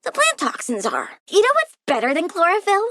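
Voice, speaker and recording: A woman's voice: very high voice, wildly swooping pitch, brisk, sharp tone, no room sound, clean studio sound, full character voice. A woman playing a precocious young girl plant scientist and budding supervillain in a video game, chirpy and smug with childlike know-it-all menace and teenage sarcasm. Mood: smug, playful menace. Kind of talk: video game character voice lines.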